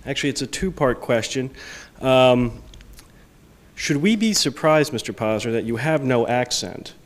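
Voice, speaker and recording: A man moderating a press club event, reading audience questions in a halting way and presenting gifts to the speakers. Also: mid-range voice, lively intonation.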